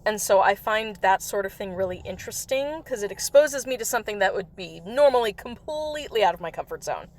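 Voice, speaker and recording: A woman hosting a game livestream in casual, rambling chat, her voice slightly raspy from coughing. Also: medium pitch, animated, brisk, few pauses, a little mellow. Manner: rambling, chatty, with frequent laughs. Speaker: livestream host. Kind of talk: casual chat while playing a mobile game.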